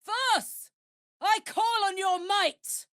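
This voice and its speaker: A woman's voice: very high voice, fairly even intonation, extremely slow, fairly bright tone, no room sound, clean studio sound, heavily acted. A woman playing a brash, big-hearted female warrior in a video game, speaking in an earnest, blunt and slangy way. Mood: fired up.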